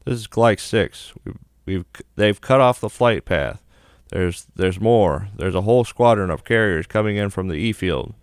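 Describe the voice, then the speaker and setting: A man's voice: fairly low pitch, expressive, moderate pace, smooth, no echo, studio quality. A man voicing a panicking, stammering fighter pilot calling over the radio in a sci-fi game. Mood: alarmed. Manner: stammering, frantic.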